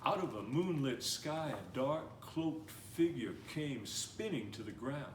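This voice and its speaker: A man reading a picture book aloud in a gentle, animated storytelling manner, slowing down and speeding up to shape the tale. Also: medium pitch. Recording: roomy, somewhat distant mic with faint noise.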